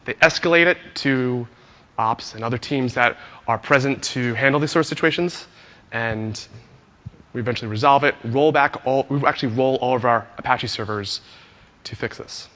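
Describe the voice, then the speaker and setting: A hoarse man giving a friendly conference talk to an audience, telling a story with many "uh" fillers. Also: medium pitch, moderate pace, pushed and bright, in a large hall, lo-fi sound. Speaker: conference speaker, engineer.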